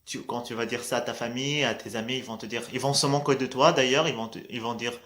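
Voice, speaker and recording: Un jeune homme à l'marocain, dont l'arabe est la langue maternelle, qui parle en vlog motivant et décontracté, hésite souvent et répète « voilà ». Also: male voice, mid-range voice, steady tone, quick pace, fairly bright tone. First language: Arabic. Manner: hesitant, restarts often, says "voilà" a lot, laughs between points. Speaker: vlogger.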